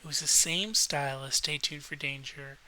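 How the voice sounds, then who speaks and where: A man with a slightly rough voice, rambling in a relaxed, hesitant late-night style with frequent pauses, as a video host. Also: on the high side. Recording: noticeable faint background hiss.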